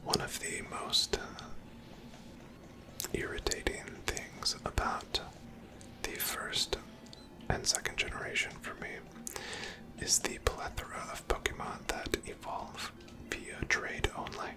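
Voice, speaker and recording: A person whispering gentle, chatty ASMR commentary over a video game, breathy and close to the microphone. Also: slow pace. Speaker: gameplay host.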